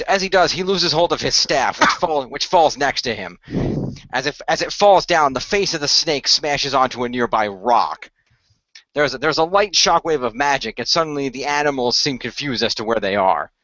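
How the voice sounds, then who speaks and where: Guy narrating homemade radio play, halting, bright voice, lively pitch, bit lo-fi. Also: dry recording. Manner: halting, often stumbles and repeats phrases. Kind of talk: improvised present-tense story narration.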